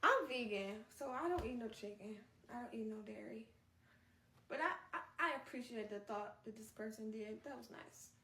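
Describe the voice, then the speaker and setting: A woman hosting a read-aloud, moving between narration and casual, expressive, chatty asides. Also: on the high side, moderate pace, a little hiss or hum. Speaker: host reading a book aloud on a live stream. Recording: roomy echo, distant microphone.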